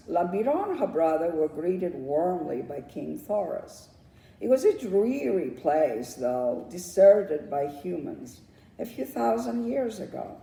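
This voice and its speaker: A woman narrates a children's storybook in a very dark, mellow voice with some gravel in it. Her phrasing is dramatic and drawn out, with lively swings in pitch. She sounds set back from the microphone in an echoey room. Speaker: children's author reading own book. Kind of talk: storybook read-aloud narration. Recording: roomy echo, distant microphone.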